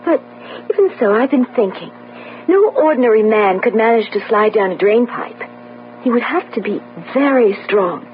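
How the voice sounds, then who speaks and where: A woman's voice: on the high side, animated, normal speed, lightly acted. An actress playing a shrewd, affectionate young engaged woman in an emphatic, theatrical old radio mystery style. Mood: thoughtful, clever. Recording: tinny old radio broadcast, hum.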